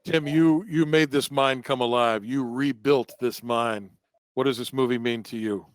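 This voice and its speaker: Clear-voiced male panel host. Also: mid-range voice, steady tone, measured pace, no echo. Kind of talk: moderating a live online panel.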